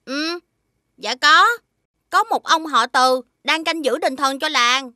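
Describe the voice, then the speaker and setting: A woman voicing a small, helpful but puzzled village child in an animated fairy tale, with drawn-out, hesitant speech. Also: high voice, no echo, clean studio sound, full character voice.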